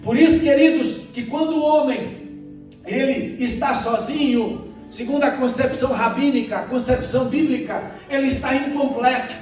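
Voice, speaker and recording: High-pitched Brazilian male preacher, very echoey. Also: fairly even intonation, normal speed, darkish tone. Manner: exhorting, repeats phrases, addresses congregation directly. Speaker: pastor. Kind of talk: church sermon. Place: church hall during a morning service. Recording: echoey church sound, distant, faint music.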